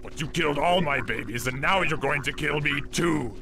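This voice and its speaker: Guy doing a pathetic whiny game creep, highish voice, melodramatic, loud noise behind. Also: normal speed, lightly acted. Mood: whimpering spite. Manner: whimpering, melodramatic. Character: pathetic, whining spider keeper, distraught and spiteful. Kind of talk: exaggerated video game character acting.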